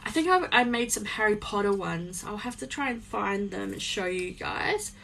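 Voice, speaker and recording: A young woman talks casually while she sews, her voice a little croaky. She rambles along with plenty of ums. There is a faint noise behind her, with a few small clicks. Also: medium pitch, lively intonation, normal speed, sharp tone. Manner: chatty, rambling, many ums. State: slightly croaky voice. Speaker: small business owner live-streaming crafts. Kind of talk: casual chat while sewing. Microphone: phone on a live stream.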